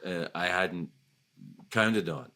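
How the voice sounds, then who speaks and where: A man in his sixties, hosting a candid conversation, talking in a reflective, halting way full of restarts. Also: deep voice, pushed and bright. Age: 60s. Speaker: podcast host.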